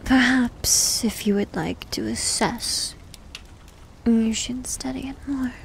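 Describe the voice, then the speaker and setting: A woman playing a needy, teasing young succubus demon in an ASMR roleplay, with a soft, breathy, often whispered voice and coy manner. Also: fairly high pitch, fairly even intonation, a little hiss or hum. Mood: smug, coaxing. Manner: coy, slow, coaxing. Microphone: close microphone.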